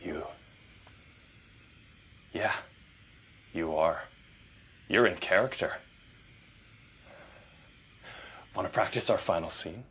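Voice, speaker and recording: A man's voice: low-pitched, slow, fairly bright tone, slightly rough, full character voice. An actor playing a smug, delusional male movie star in an audio drama, theatrical and seductive, slipping between whispers and bursts. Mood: menacing.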